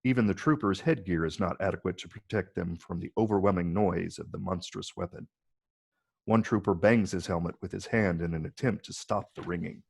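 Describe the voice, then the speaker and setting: Brief: male narrator for an action screenplay, lowish pitch, husky and creaky, reads stage directions. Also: a little fast, darkish tone. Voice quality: gravelly, husky.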